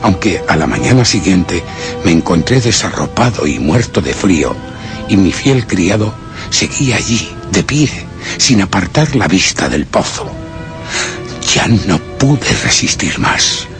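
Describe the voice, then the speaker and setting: A man with a Castilian accent playing a haunted, obsessive gentleman who narrates a horror radio play in a grave, suspenseful, theatrical way. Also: low voice. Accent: Castilian Spanish. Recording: dramatic music behind the voice.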